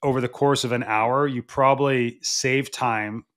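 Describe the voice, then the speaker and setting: A man's voice: medium pitch, fairly even intonation, measured pace, fairly bright tone. A man interviewing a coach, asking long, hesitant questions full of restarts and you-knows. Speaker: interviewer, podcast host.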